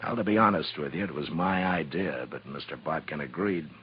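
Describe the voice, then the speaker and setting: Very deep-voiced frontier marshal. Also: male voice, fairly quick, slightly rough, exaggerated voice. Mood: reasoning. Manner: terse, firm, commanding. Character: steady, authoritative frontier marshal, a grown man. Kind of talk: 1950s radio Western acting. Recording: muffled, hissy 1950s radio recording.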